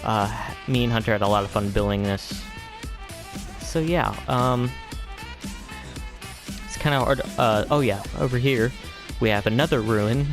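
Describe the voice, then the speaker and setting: A man's voice: mid-range voice, unhurried, pauses often, music in the background. A man giving a casual, rambling game tour as video host, hesitant and often trailing off into vague asides.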